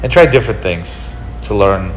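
A man in his thirties from Russia, a restaurant owner giving a relaxed, explanatory documentary interview. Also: low-pitched, moderate pace, rough recording. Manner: relaxed, explanatory, gestures while talking. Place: indoor room with leather couch.

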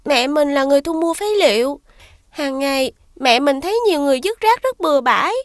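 A woman's voice: high-pitched, expressive, brisk, no echo, studio quality. A woman voicing a shy, earnest young schoolgirl who explains things carefully, in a children's cartoon. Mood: earnest, embarrassed.

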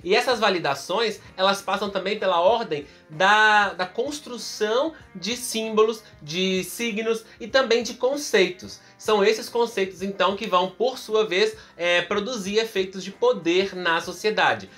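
A man's voice: sits high, measured pace, few pauses, faint music behind. A man in his thirties from Brazil, explaining a philosophy text to camera step by step, recorded close up in a room with bookshelves. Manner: expressive, explains step by step with examples. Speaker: philosophy teacher. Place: indoor room in front of bookshelves. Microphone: camera close up, facing the lens.

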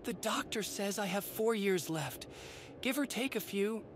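A woman voicing a secretive, frightened teenage boy vigilante in a fanfiction audiobook, emotional and halting, breaking into stammers. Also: mid-range voice, expressive, slight background noise, lightly acted. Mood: remorseful. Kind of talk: podfic voice acting.

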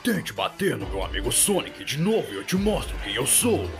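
A man's voice: medium pitch, very animated, moderate pace, music in the background. Man voicing a cocky Brazilian cartoon hedgehog antihero. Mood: defiant. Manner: smug, bragging, slangy. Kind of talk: Brazilian fan parody dub.

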